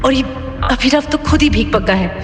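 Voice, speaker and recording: A woman's voice: high-pitched, moderate pace, faint music behind, close to an everyday voice. An actress in an audio drama playing an anxious young wife fretting in her thoughts, with echoey reverb. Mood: worried dismay.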